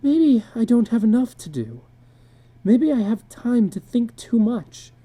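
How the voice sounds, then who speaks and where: A woman's voice: a little high, big pitch swings, measured pace, mellow, slightly rough, pitched above the performer's own voice, full character voice. A woman voicing a frightened, pleading housewife in a dramatic story reading, anxious and insistent. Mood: tearful, wistful.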